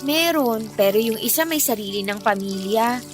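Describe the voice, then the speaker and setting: A woman's voice: on the high side, lively intonation, moderate pace, natural voice. A woman with a Filipino accent playing a candid, hard-up eighteen-year-old girl in a radio drama, telling things in an emphatic, conversational way. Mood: resigned, doubtful. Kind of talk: Tagalog radio drama acting. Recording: music bed under the dialogue.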